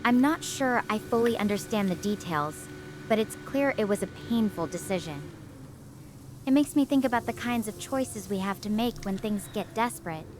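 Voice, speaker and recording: Woman voicing a gentle stranded scientist. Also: mid-range voice, slight background noise, natural voice. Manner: soft, reflective, with sympathetic questions. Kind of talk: improvised fan audio drama. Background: music.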